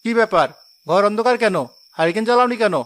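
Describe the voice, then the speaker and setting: A man's voice: sits high, quick pace, fairly bright tone. A man playing a hardworking, hot-tempered village husband in a cartoon drama, exclaiming and firing off questions. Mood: impatient. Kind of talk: Bengali cartoon moral drama voice acting.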